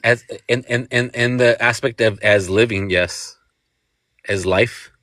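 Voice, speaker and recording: Halting, stammering man in his forties. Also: medium pitch, steady tone, pushed and bright, dry recording, studio quality. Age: 40s. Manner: halting, repeats words and restarts often. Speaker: guest caller. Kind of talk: casual debate on a live stream.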